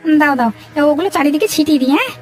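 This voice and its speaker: Woman voicing a high, quick, timid villager. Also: animated, soft background music. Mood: nervous, compliant. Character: timid, nervous village accomplice, easily led. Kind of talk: cartoon voice acting in a moral story.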